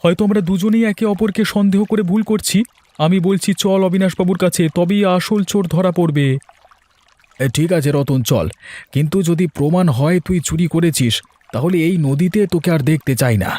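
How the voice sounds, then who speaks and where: Man acting aggrieved village fisherman, notably high-pitched. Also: fairly quick, warm tone, no echo. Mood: suspicious. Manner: accusing, argumentative. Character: aggrieved village fishermen quarrelling, suspicious and defensive men. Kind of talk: cartoon fairy-tale dialogue.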